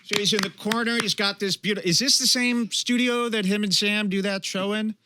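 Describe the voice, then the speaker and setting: A man hosting a comedy show, giving sarcastic, animated commentary over a video and mimicking people mockingly. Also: high-pitched, a little fast, no room sound.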